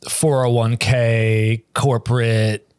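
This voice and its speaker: A man's voice: medium pitch, flat delivery, takes it slowly, darkish tone, smooth, dry recording. Reflective man, dry close sound. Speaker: podcast co-host. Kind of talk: casual chat.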